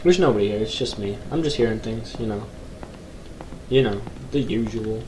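A young man with a low voice talks through a horror game, cracking jokes and reading the on-screen text aloud. His tone is deep, and he puts on a nervous mock bravado. A steady hiss of background noise runs under his home recording. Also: moderate pace. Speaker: gaming YouTuber. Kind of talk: horror game let's play commentary. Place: small bedroom, indoors.

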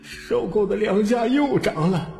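A man voicing an excitable, self-pitying middle-aged male grain shop clerk in an audiobook, wailing and exclaiming. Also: high-pitched, a little slow, vocal fry, roomy sound, long reverb tail, faint music behind, pitched above the performer's own voice. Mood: wailing grief.

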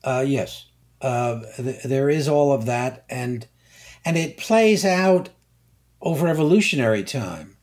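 An older male scientist speaking very slowly as an interview guest. He chooses his words with care and pauses often on filler sounds. His pitch sits in an ordinary middle range. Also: lively intonation, a little mellow. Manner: slow, deliberate, many hesitations.

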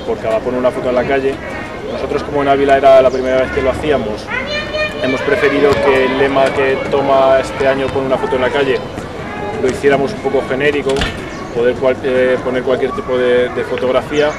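A man in his forties, an event organizer, explaining an event in a relaxed, hesitant way during an outdoor street interview. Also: mid-range voice, fast talker. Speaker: photo association organizer. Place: city square outdoors. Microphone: camera mic from a distance. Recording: distant mic, loud street noise.

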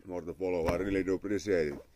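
A man's voice: deep voice, fairly even intonation, quick pace, mellow, a little hiss or hum. A young man from Finland with a Finnish accent, chatting casually on a live stream outdoors in his yard, thanking followers and muttering to himself. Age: young adult. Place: outdoor yard under a parasol. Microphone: phone camera at arm's length.

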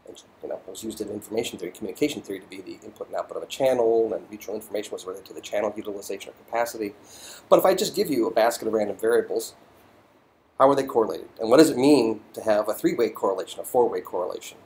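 A man's voice: fairly low pitch, animated, very fast, a little mellow, slight background noise, poor audio. A middle-aged man giving a physics lecture as a voiceover to slides, thoughtful and full of ums and restarts.

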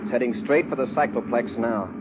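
An American actor playing a decisive space patrol commander in a 1950s radio drama, speaking with brisk authority on a tinny, noisy old recording. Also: male voice, medium pitch, natural voice. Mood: commanding.

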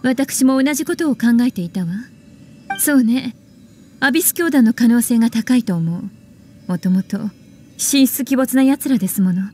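A woman's voice: fairly high pitch, normal speed, mellow, a little hiss or hum, natural voice. A woman voicing a composed, teasing witch librarian in an anime game, poised and coolly condescending with a stern edge. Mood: composed, suspicious. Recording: game music behind.

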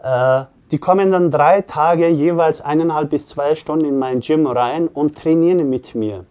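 Higher-pitched middle-aged man, explaining earnestly. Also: a little slow, warm tone, muffled recording. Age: 40s. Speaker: fitness coach and gym owner. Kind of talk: explanatory talk to camera. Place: small indoor office.

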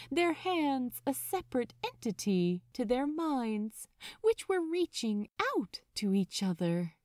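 A woman reading fiction aloud as a narrator, in a dramatic, expressive audiobook style. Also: medium pitch, no echo, clean studio sound.

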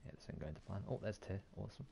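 A man's voice: lowish voice, animated, rapid-fire, creaky, mellow. A man giving casual, offhand gameplay commentary, muttering and mildly swearing to himself. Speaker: gaming YouTuber.

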